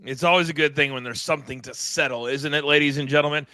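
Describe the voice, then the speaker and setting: Very bright, fast-talking male moderator. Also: fairly high pitch, fairly even intonation, dry recording. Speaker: press call moderator. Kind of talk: hosting a conference call.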